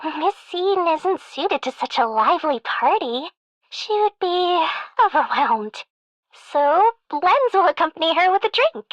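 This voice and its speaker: A woman's voice: very high-pitched, big pitch swings, a little slow, no room sound, full character voice, low-quality recording. A woman voicing a polite, dutiful little robotic assistant for a video game, speaking in formal service phrases. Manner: formal, third-person service talk. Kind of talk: video game character voice line.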